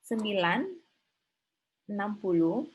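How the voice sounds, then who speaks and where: A woman's voice: mid-range voice, animated, slow. A woman giving an informal online accounting lecture, thinking aloud and often checking with her students through short questions. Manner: conversational, often seeking confirmation with short tag questions. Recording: slightly rough online class recording.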